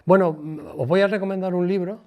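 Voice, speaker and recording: An older man with a Spanish accent from Spain gives an informal lecture, colloquial and digressive, halting and repeating words as he goes. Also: sits high, fairly even intonation, dull audio. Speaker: guest lecturer, former radio presenter. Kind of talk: informal public lecture with personal anecdotes.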